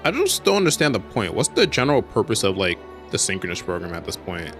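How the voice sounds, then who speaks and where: A man's voice: low-pitched, big pitch swings, fast, a bit bright, faint music behind. A man giving casual, slangy commentary as he plays through a video game. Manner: slangy, colloquial. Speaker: streamer playing a video game.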